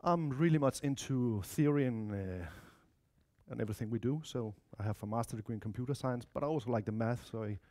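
A man's voice: medium pitch, a little fast, dark tone. A man in his thirties with a Danish accent gives an informal technical talk, speaking in a chatty way with asides to his audience. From Denmark or Spain, of mixed Spanish-Danish family. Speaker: conference speaker, software developer. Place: lecture room, indoors.

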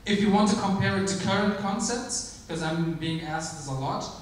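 A man giving a startup pitch on stage, measured, with frequent ums and restarts. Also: slight background noise. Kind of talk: conference pitch. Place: large theater stage. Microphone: handheld mic, filmed from the audience. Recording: echoey hall from far off.

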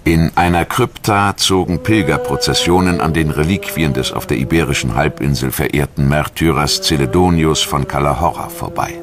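Very deep, gravelly male documentary narrator. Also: moderate pace, few pauses, over music. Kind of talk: scripted narration.

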